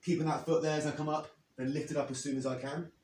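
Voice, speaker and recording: A man in his thirties, slightly hoarse after losing his voice, explaining and demonstrating leg exercises step by step as a fitness coach. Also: fairly high pitch, fairly even intonation, fast talker, a little mellow, a little hiss or hum. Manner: plain, step-by-step coaching while demonstrating moves. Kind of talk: instructional workout demo. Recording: echoey, from a distance.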